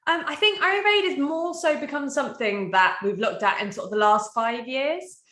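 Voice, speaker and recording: Bright-voiced woman, echoey room. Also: high-pitched, lively intonation, moderate pace. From Wales. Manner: reflective, storytelling. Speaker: guest. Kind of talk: casual conversation. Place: home in the mountains.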